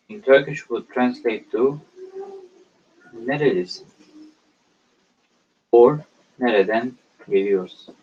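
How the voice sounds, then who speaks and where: Slow, halting male language teacher. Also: medium pitch. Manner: hesitant, halting, with many pauses and fillers. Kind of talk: slow explanatory lecture. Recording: dull lo-fi recording.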